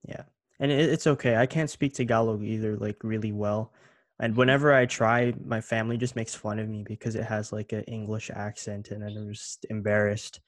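A young man from Canada hosting a casual interview in a relaxed, hesitant way, with many "um"s and restarts. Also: on the low side, moderate pace, dry recording, clean studio sound. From Canada (Ontario). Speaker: interview host.

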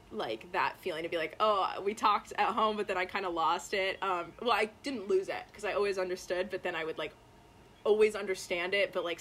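Lower-voiced young woman, chatty interviewer. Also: fairly bright tone, a little hiss or hum. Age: college student. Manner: enthusiastic, chatty, many fillers. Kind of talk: casual interview.

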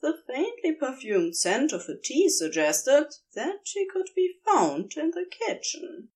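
A woman narrates a story aloud in a relaxed, unhurried way, taking her time over each phrase. Her pitch moves a great deal, rising and dipping with the sentences to keep the telling lively and expressive. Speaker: podfic reader. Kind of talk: audiobook-style reading of fan fiction.

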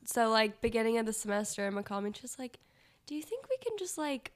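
A woman's voice: on the high side, sing-song, fast talker. A woman of nineteen chatting casually as a podcast guest, peppering her talk with "like". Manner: heavy use of "like". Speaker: podcast guest, college student. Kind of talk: casual chat.

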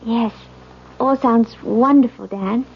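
An actress playing a gentle, uneasy newlywed bride in a 1970s radio drama, speaking politely and with some hesitation. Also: female voice, fairly high pitch, slow pace, husky, exaggerated voice. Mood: uneasy, agreeable. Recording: dull 1970s radio recording.

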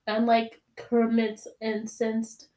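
A fairly high-voiced woman in her twenties chats casually as a podcast co-host. She giggles easily and packs her talk with slang and filler words. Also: completely flat delivery, slow, warm tone. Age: 20s. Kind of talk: casual chat.

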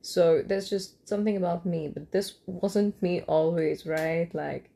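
A young woman from Kolkata, nursing a cold, hosts her own podcast in a loose, rambling late-night monologue. Her voice sits low and carries a gravelly fry. Her tone is dark and mellow, and she often pauses on ums and restarts her sentences. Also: fairly even intonation. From India, Kolkata. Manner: rambling with frequent ums and restarts, light laughs. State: has a cold. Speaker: podcast host.

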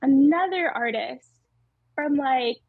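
A woman with a small, tiny voice, chatting casually as an interview guest, laughing often and pausing with fillers. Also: high-pitched, dry recording, narrow, tinny audio. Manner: giggly, hesitant with many fillers. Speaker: muralist guest.

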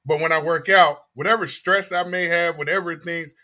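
Bright-voiced man hosting a podcast. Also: a little high, normal speed. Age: 40s. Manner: animated, emphatic, repeats phrases. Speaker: podcast host. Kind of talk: casual chat.

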